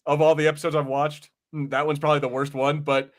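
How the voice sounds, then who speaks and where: Very fast-talking man on a casual podcast. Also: fairly high pitch, level tone. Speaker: podcast host. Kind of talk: casual pop-culture podcast chat.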